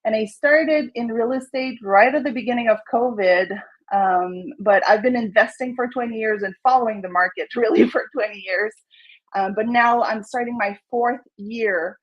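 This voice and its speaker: A Québécois-accented woman with a somewhat high voice talks eagerly, scattering "like" and "um" as she goes. Her audio is a bit dull and lo-fi. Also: normal speed. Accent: Québécois French. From Montreal, Canada. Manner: eager, chatty, with frequent "like" and "um". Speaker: real estate agent. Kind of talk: introducing herself on a video call.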